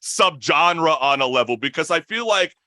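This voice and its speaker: A man's voice: a little high, expressive, normal speed, bright, forceful tone, no echo, studio quality. A young man hosting a talk show, chatting casually and enthusiastically with his guests. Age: young adult. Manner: enthusiastic, effusive. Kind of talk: casual interview chat.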